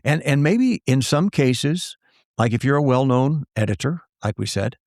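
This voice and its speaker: A man's voice: medium pitch, lively intonation, normal speed, a little mellow, dry recording, clean studio sound. A man co-hosting a podcast, speaking thoughtfully and hesitantly, with many fillers and repeated words. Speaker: podcast co-host. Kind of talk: casual conversation.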